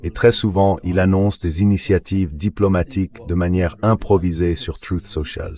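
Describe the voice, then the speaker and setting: Male, very low-pitched, synthetic dubbed voice, interview guest, analytical political commentary. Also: fairly even intonation, moderate pace, warm tone.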